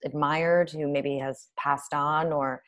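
A woman's voice: low-pitched, steady tone, takes it slowly, a bit bright. A woman interviewing a guest casually, with an effusive, encouraging and complimentary way of talking.

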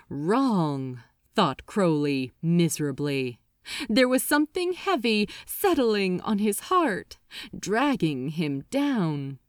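Very expressive, slow-paced woman storyteller. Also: medium pitch, no echo, studio quality. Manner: expressive, theatrical. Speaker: fan fiction reader. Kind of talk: dramatic scripted audiobook narration.

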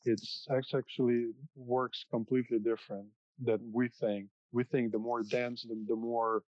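A man, a music producer whose first language is Russian, explaining studio acoustics in a halting casual chat with many restarts. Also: medium pitch, flat delivery, no room sound. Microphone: podcast microphone. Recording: dull, dry sound.

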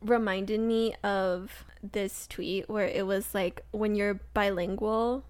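A woman's voice: mid-range voice, steady tone, takes it slowly, dry recording. A young American woman in casual chat, rambling and filling her talk with "like.". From United States. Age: young adult. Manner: rambling, heavy use of "like".

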